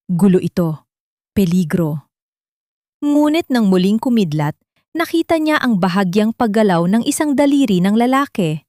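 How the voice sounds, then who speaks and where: A woman's voice: mid-range voice, darkish tone, no echo, studio quality. A woman reading a scripted, dramatic audio story as its narrator.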